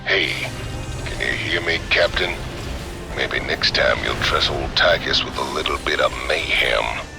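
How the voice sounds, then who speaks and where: An actor playing a gruff, swaggering ex-convict brawler with a gravelly drawl, boastful and fond of mayhem, in a video game. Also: male voice, a little slow, exaggerated voice. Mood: cocky. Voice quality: very deep, gravelly. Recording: loud music behind the voice. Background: dramatic game music.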